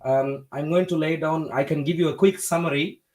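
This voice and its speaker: Polite Indian-born man, slightly high voice. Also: steady tone, a little mellow. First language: not Hindi. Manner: measured, polite, hesitant with many fillers. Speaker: livestream host. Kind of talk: debate livestream.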